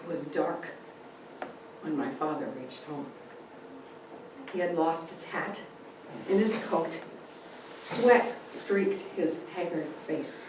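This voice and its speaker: A woman giving a theatrical reading of a story, with deliberate, dramatic phrasing. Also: deep voice, expressive. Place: indoor room, speaking to a group. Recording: very echoey room, distant mic, faint noise.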